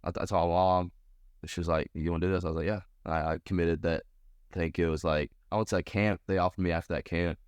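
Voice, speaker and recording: Deep-voiced young American man, smooth and casual. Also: fairly even intonation, fast, no room sound. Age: around 20. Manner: informal, slangy. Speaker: college baseball pitcher being interviewed. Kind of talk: casual interview answers.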